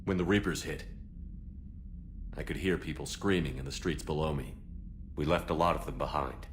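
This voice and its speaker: An actor playing a weary, resolute military spaceship commander in a video game, terse, steady and grave. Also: male voice, a bass voice, moderate pace, slight background noise. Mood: weary, troubled. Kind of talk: video game cutscene dialogue.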